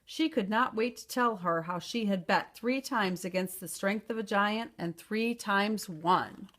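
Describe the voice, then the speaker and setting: A woman storyteller reading a children's picture book aloud in an expressive narrating style. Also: medium pitch, measured pace.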